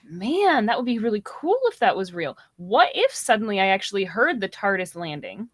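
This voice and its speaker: A woman's voice: medium pitch, very animated, moderate pace, dry recording. A woman of about thirty chatting casually on a panel, playfully acting out imagined reactions. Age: around 30. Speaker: podcast panelist. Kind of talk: casual chat.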